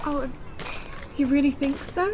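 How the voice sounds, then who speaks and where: A woman's voice: sits high, little pitch movement, a little slow, background noise. An actress playing a sheltered, romantic young upper-class English lady in a Victorian radio drama, formal and earnest. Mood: doubtful. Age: young adult. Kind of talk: period radio drama acting.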